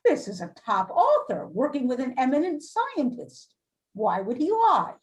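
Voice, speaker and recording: A woman's voice: high voice, wildly swooping pitch, measured pace, exaggerated voice. A woman playing a defensive, businesslike school administrator in an amateur readers theater reading.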